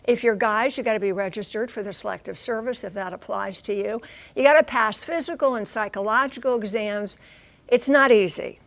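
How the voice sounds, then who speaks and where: A mature American woman correspondent explaining things on live TV news in an animated, folksy, emphatic way. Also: fairly high pitch, a little fast. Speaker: transportation correspondent.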